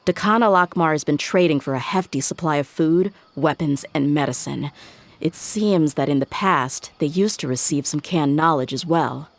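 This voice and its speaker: A woman's voice: low voice, normal speed. A young woman playing a tough, blunt, self-assured mercenary in a video game. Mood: focused, puzzled. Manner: assertive, no-nonsense. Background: game music.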